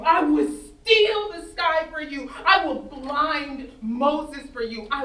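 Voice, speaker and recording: A woman playing a desperate, fiercely protective mother in a staged play reading, pleading haltingly and emphatically. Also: high voice, fairly bright tone, exaggerated voice. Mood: fierce, anguished. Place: stage in an echoey room. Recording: roomy, distant, faint noise.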